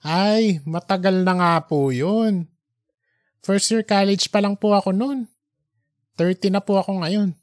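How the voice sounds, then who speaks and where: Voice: medium pitch, measured pace, long pauses, darkish tone, natural voice. A storyteller voicing a respectful, harried male reporter of thirty, earnest and deferential, in an audiobook drama. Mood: fond, relieved.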